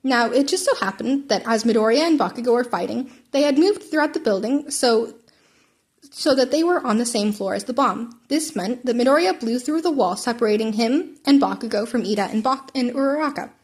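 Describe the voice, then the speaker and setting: A woman's voice: on the high side, animated, brisk, breathy edge. A woman narrating fan fiction as an amateur audiobook, now and then stumbling and rereading a word.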